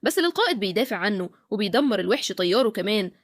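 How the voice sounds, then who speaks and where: A woman's voice: mid-range voice, very animated, racing, no room sound, clean studio sound. A woman with an Egyptian Arabic accent narrating a scripted recap of a film.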